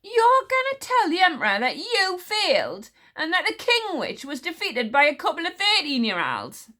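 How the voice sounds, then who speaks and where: A woman reads as a haughty warrior queen, her voice cold and clipped, pitched a little high and swinging widely between lines. She gives orders without a trace of warmth, all grim authority. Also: animated, a little slow, full character voice. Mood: commanding. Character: stern proud warrior queen, icy and grim.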